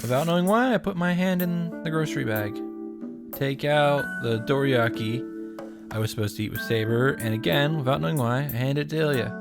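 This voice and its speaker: Casting: male game reaction host, mid-pitched voice, reads story text with casual asides, music behind. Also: a little fast, gravelly. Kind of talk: reading visual novel narration with casual commentary.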